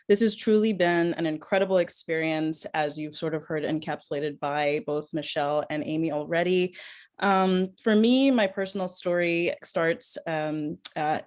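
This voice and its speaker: A middle-aged woman speaking as a panel guest, her voice pitched somewhat low for a woman. She talks in an earnest, reflective way. She is heard over a video call. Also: a little mellow. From Kenya. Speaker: panelist, public health researcher. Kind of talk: panel discussion.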